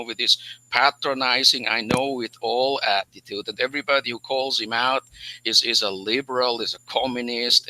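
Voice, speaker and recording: Bright-voiced man ranting, stammering. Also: medium pitch, rough recording. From Switzerland. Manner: ranting, profane, stammering repeats. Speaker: livestream guest. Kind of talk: heated livestream argument.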